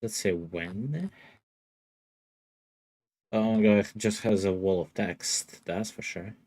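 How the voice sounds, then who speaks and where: A man's voice: lowish voice, slow, a little mellow. A man streaming a game, thinking aloud in a rambling, casual way and laughing often. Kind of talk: casual gaming livestream chatter.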